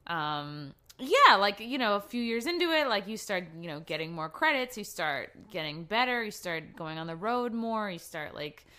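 Woman, 30s, comedian guest: bright, chatty, self-deprecating, loose and filler-heavy. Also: medium pitch, very animated, normal speed, few pauses. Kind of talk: casual interview chat.

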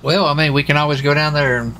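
Guy joking around in a game roleplay, bright forceful voice, some noise behind him. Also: a little high, fairly even intonation, fast talker. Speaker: roleplay gamer playing a lawman. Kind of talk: casual in-character game banter.

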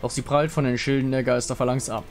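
A man's voice: medium pitch, level tone, fast. Male narrator telling a fantasy battle over music. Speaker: narrator reading visual novel dialogue. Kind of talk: dramatic scripted narration.

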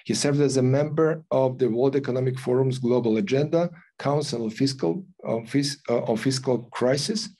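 An older man hosting an online event, reading a formal introduction with many pauses and fillers. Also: medium pitch, mellow. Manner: halting, many fillers and restarts. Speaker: host introducing a guest. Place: office with logo backdrop. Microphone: video call.

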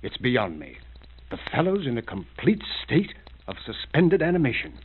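An actor playing a puzzled police surgeon in a 1930s radio drama, hesitant and hemming, on a tinny, scratchy recording. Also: male voice, medium pitch, wildly swooping pitch, moderate pace. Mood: baffled. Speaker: doctor.

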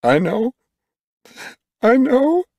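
Audiobook role: injured father, despairing, weak halting murmur , performed by a male narrator. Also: very high-pitched, very animated, a little mellow, no room sound, voice pushed up, exaggerated voice. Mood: overwhelmed, weeping. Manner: breathy murmuring, anguished, halting.

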